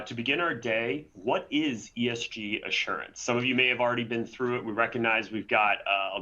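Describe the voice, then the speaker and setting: A man's voice: lowish voice, pushed and bright, highs cut off. A man hosting and presenting a webinar in a conversational way, often tagging on "right?". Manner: conversational, frequent "right?" tags.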